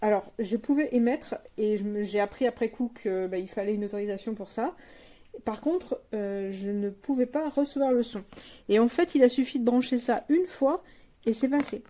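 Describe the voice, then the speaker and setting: Higher-pitched, mellow-voiced woman reviewing casually. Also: normal speed. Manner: frank, plain-spoken, colloquial. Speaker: product reviewer. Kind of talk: casual unscripted product review.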